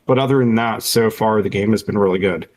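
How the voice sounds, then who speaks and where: A man co-hosting a podcast in casual conversation, somewhat halting and repeating words as he explains things. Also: lowish voice, a little mellow. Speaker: podcast co-host. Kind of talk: casual chat about video games and films.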